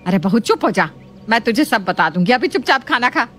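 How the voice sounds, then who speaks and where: A woman voicing a homely middle-aged mother-in-law who coaxes and gently scolds in a family story, with faint noise behind. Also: a little high, very animated, brisk, soft background music. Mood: placating. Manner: coaxing, explanatory.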